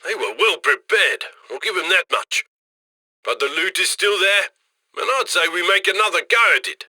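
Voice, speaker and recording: A man's voice: high voice, expressive, normal speed, pushed and bright, no room sound, full character voice. An actor playing a cocky, chummy male heist handler in a video game, with a wry, folksy, upbeat manner. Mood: brisk, determined. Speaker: mission handler.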